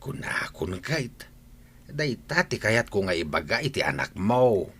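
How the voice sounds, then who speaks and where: Man acting a low-voiced, concerned old Ilocano father. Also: big pitch swings, a little slow, fairly bright tone, breathy edge, exaggerated voice. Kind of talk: Ilocano radio drama acting.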